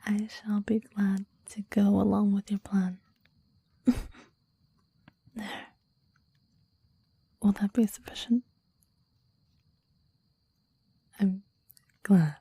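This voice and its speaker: Dark, breathy whispering demon lover, acted by a woman. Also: medium pitch, level tone, slow pace, pauses often, no echo, heavily acted. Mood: tender, eager. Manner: intimate, formal, old-fashioned phrasing. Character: clingy, devoted demon lover, reverent and yearning. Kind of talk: ASMR romantic roleplay. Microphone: close microphone, dry.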